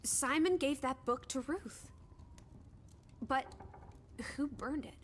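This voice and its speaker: An actress playing an outspoken, empathetic young female companion in a video game, probing and expressive in manner. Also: sits high, slow, slight background noise. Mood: curious, pensive.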